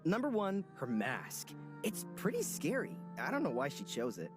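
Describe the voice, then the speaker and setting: An actor playing a gossipy, lovesick young soldier who rambles theatrically, over game music. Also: male voice, a little high, wildly swooping pitch, moderate pace. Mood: dramatic.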